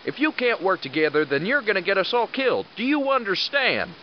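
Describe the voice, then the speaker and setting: A man playing a blunt, commanding, gruffly fatherly squad leader with a sarcastic edge in an audio drama. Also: high-pitched, big pitch swings, a little fast, highs cut off, a little hiss or hum. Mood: stern, exasperated.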